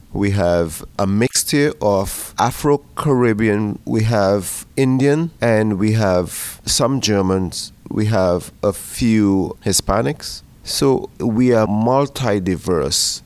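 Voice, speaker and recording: Middle-aged man, slightly low voice; sincere, steady, plain-spoken interview testimony. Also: slow pace. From Trinidad and Tobago. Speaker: church facilities worker.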